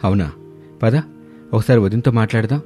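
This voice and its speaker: A man's voice: lowish voice, moderate pace, warm tone, husky. A man voicing a puzzled, earnest husband in a cartoon family story. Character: grown sons, puzzled husbands in a family tale. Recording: music under the voice.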